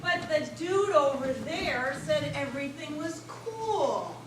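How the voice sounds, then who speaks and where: A man's voice: extremely high pitch, big pitch swings, takes it slowly, exaggerated voice. A man on stage playing a laid-back, over-friendly young stoner with slangy, drawling speech. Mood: let down. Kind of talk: amateur readers theater stage performance. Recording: echoey hall, distant mic, clear noise.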